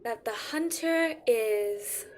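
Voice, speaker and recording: A woman voicing a haughty siren empress in a fantasy audio drama, regal, theatrical and deliberate. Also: fairly high pitch, expressive, a little hiss or hum. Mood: coolly weighing.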